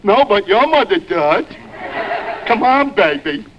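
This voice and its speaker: A slow-witted, bashful suitor in an old radio comedy. His halting voice sits high and wobbles widely in pitch, and the recording is dull and noisy. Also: big pitch swings, full character voice. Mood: smug. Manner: hesitant, repeats uh, halting. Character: dim-witted, slow, bashful oaf trying to woo. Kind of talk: 1940s radio comedy acting.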